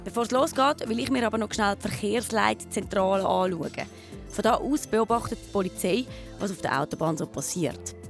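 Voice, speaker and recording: Woman, Swiss German accent, children's TV presenter, scripted documentary narration, medium pitch, music behind. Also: a little fast.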